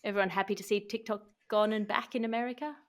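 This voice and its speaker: A woman's voice: mid-range voice, fairly even intonation, quick pace. A woman hosting a satirical news podcast, speaking in a wry, wordy, ironic way between script and banter. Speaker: podcast host.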